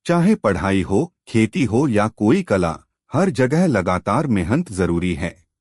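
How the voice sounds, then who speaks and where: Man voicing an earnest, unhurried village youth. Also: fairly low pitch, big pitch swings, darkish tone, no echo, clean studio sound, heavily acted. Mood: encouraging. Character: earnest, determined village boy grown into young farmer.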